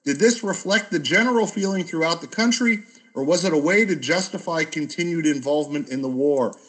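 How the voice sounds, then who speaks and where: A man's voice: a little high, a little fast, fairly bright tone, rough recording. A man who teaches history, speaking informally as the moderator of an academic discussion.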